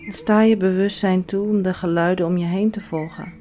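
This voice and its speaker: A woman with a slightly low voice leads a guided yoga relaxation. She gives soothing, measured instructions and leaves pauses between phrases. Faint music plays behind her. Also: steady tone, dark tone. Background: background music.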